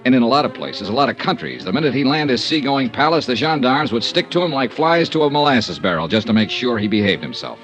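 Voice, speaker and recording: An actor playing a wry, world-weary American insurance investigator in a clipped 1950s radio style. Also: male voice, medium pitch, big pitch swings, fast talker, hardly any pauses, fairly bright tone. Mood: dry, sardonic. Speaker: lead actor and narrator. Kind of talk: 1950s radio detective acting. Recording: vintage radio drama with music.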